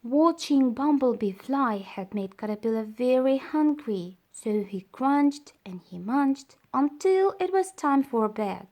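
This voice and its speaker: A woman reading a children's story aloud as storyteller, with deliberate, dramatised phrasing. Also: sits high, expressive, darkish tone.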